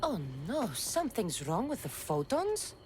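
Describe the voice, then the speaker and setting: An actress playing a principled, impatient Greek heroine in a video game, wry and direct. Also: female voice, a little high, huge pitch swings, measured pace, darkish tone, soft background music. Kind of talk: video game cutscene acting.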